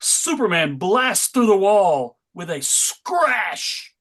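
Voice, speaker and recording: A man narrating a live comic book read in a wry, deadpan way. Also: sits high, takes it slowly.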